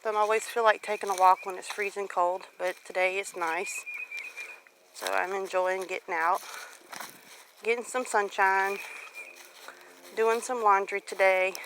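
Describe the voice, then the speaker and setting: A woman's voice: mid-range voice, fairly even intonation, measured pace, pauses often. A woman in her late forties talking casually and reflectively to viewers as she walks around outside, in a devout, encouraging manner. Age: late 40s. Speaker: homestead vlogger. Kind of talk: casual reflective walk-and-talk vlog. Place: outdoors on a rural property. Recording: outdoor recording with clear background noise.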